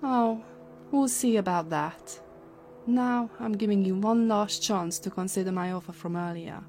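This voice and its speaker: A woman playing a smug, calculating villain in a sci-fi audio drama, deliberate and condescending. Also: medium pitch, darkish tone, soft background music, natural voice. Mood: threatening. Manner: deliberate, condescending, coolly menacing. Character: smug, calculating female villain of a time-travel agency.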